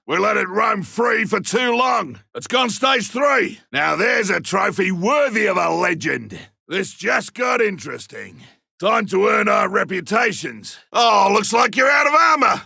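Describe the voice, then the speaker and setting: A man playing a boastful, grizzled veteran monster hunter in a video game, with an Australian accent and a rough, gravelly voice, making forceful short calls. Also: sits high, sing-song, unhurried, no room sound, clean studio sound, heavily acted. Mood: taunting, boastful, then gruff, focused. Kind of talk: video game combat and tracking barks.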